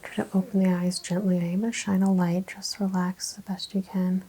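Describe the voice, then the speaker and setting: A woman speaking softly and breathily, often in a whisper, giving gentle, reassuring instructions as a chiropractor in an ASMR roleplay. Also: on the low side, level tone, normal speed, slight background noise, rough recording. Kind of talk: ASMR, soft-spoken clinical roleplay exam.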